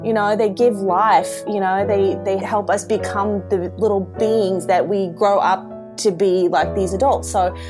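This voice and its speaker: A woman's voice: mid-range voice, fairly even intonation, measured pace, hardly any pauses, music in the background. A woman, a guest artist in a casual interview, laughing often and using lots of fillers. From Iceland, raised in Seattle.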